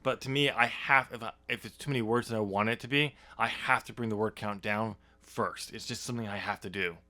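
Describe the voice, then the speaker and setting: A man's voice: on the low side, bright, forceful tone, dry recording. A man chatting casually as a podcast host and writer, rambling and often stammering as he corrects himself. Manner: halting, rambling, self-correcting with stammered restarts. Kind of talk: casual unscripted discussion.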